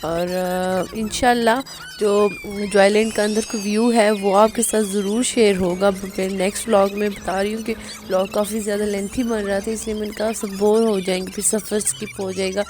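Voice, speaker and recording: A woman vlogger, talking casually to her viewers with a hoarse, sore-throated voice. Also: mid-range voice, steady tone, moderate pace, a little mellow, a little hiss or hum. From Pakistan. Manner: chatty, addresses viewers directly. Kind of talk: casual vlog narration.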